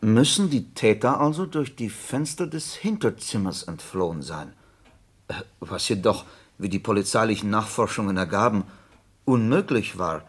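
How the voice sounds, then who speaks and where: An actor playing a detective's attentive companion in a radio play, husky, with a measured, hesitant, questioning manner. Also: male voice, lowish voice.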